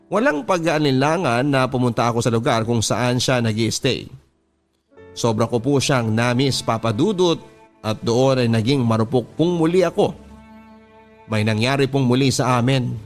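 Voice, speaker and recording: A man's voice: mid-range voice, moderate pace. A man with a Filipino accent reads a first-person letter as a radio drama host, in a sentimental, confessional storytelling manner. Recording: music bed behind the voice. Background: background music.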